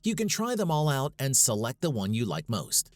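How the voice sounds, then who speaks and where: A man's voice: medium pitch, wildly swooping pitch, moderate pace, dry recording. A man reading a scripted tutorial as its host, in an instructional, step-by-step manner. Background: background music.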